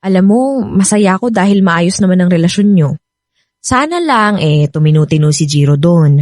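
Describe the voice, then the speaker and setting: A woman's voice: fairly low pitch, animated, normal speed, darkish tone, no room sound, studio quality, natural voice. An actress playing a chatty, frank, protective female cousin in a Filipino radio drama, with a Filipino accent. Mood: frank, cautioning.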